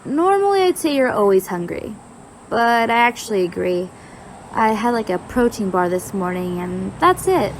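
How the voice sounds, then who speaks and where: A woman's voice: mid-range voice, big pitch swings, moderate pace, a little hiss or hum, low-quality recording. A woman playing a blunt, teasing young college student with a dry, bossy manner in an audio roleplay.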